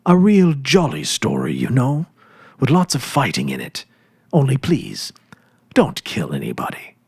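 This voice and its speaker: A man's voice: medium pitch, huge pitch swings, unhurried, darkish tone, slightly rough, dry recording, clean studio sound, full character voice. A man voicing a confident, eager ten-year-old farm boy in a storybook, earnest and emphatic, with a boastful know-it-all manner.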